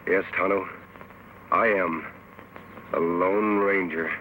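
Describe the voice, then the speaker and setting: An actor playing a steadfast, commanding western hero in an old radio drama, speaking with earnest, deliberate authority. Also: male voice, sits low, steady tone, bright, forceful tone, exaggerated voice. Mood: grave, resolute. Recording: noisy old radio recording.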